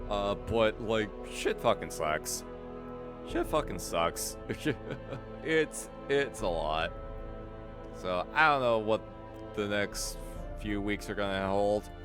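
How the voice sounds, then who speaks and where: A man hosting a casual game stream, rambling and swearing, often repeating himself, his voice slightly strained. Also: on the low side. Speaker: livestream host.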